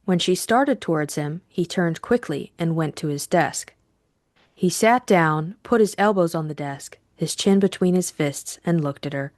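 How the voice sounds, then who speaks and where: Casting: female audiobook narrator, lowish pitch, measured scripted delivery, dry studio-like sound. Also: a little mellow, dry recording.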